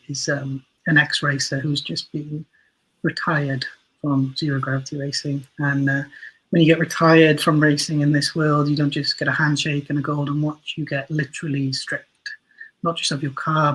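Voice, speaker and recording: A man's voice: on the high side, flat delivery, moderate pace, fairly bright tone, husky, poor audio. A man chatting casually as an interview guest, explaining things in a thoughtful, self-deprecating way. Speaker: guest, audio drama writer and producer.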